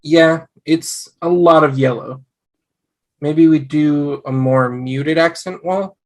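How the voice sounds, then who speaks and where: A man playing an eager, devoted young husband in a sitcom table read, earnest and excitable. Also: a little high, slow pace. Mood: eager, reassuring.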